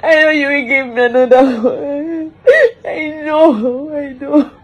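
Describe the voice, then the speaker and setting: A woman's voice: high-pitched, fairly even intonation, slow pace, slight background noise. A distraught woman, crying and wailing through an emotional lament, repeating phrases over and over.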